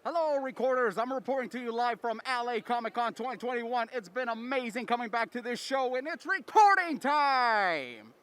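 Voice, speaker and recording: A man's voice: very high-pitched, lively intonation, normal speed, long pauses, a bit bright. A man speaking casually as a convention vlogger and fan. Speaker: convention vlogger asking a panel question. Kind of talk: casual fan question and event report. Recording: clearly noisy convention background.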